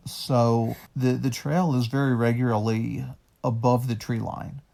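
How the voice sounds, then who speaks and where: A man answering interview questions as a guest, halting and restarting often, with dry humor. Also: medium pitch, steady tone, vocal fry, mellow. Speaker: guest, long-distance hiker.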